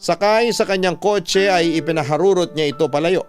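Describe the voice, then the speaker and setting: A man's voice: on the high side, moderate pace. A man narrating a scripted, dramatic first-person love story as a radio storyteller. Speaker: narrator reading a letter sender's story. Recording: dry studio voice over music.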